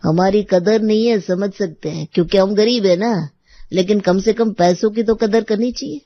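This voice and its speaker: A woman's voice: mid-range voice, normal speed, dry recording, muffled recording, lightly acted. A woman voicing a humble, aggrieved male vegetable seller in a story, with a plain-spoken hawker's manner.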